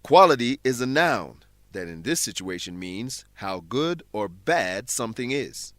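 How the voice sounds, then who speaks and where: A man narrating a scripted English lesson in a deliberate, explanatory manner, like a teacher. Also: medium pitch, sing-song, fairly bright tone, no echo.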